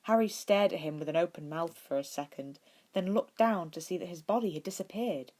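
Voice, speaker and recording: A woman narrating a story from a script in an expressive way, as an amateur audiobook reader. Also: fairly low pitch, brisk. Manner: acts out dialogue with expression.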